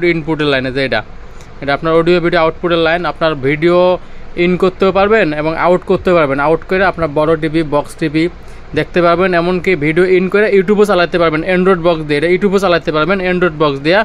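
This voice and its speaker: A man with a Bangladeshi accent giving an informal sales demonstration, often halting and repeating his phrases. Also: a little high, a little hiss or hum. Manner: repetitive, halting, with restarts. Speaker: seller demonstrating used electronics.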